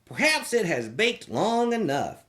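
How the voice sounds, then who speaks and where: A storybook reader voicing a mild, practical little old man in a gently matter-of-fact way. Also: medium pitch, sing-song, a little slow. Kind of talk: storybook character voice.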